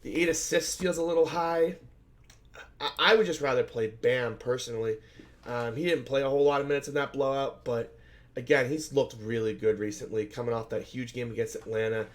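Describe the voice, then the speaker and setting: Hoarse man breaking down fantasy picks. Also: mid-range voice, fairly even intonation, a little fast. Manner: conversational, filler-heavy, thinks aloud and self-corrects. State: hoarse, scratchy voice from shouting at a game. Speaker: fantasy basketball analyst host. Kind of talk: solo sports betting slate breakdown.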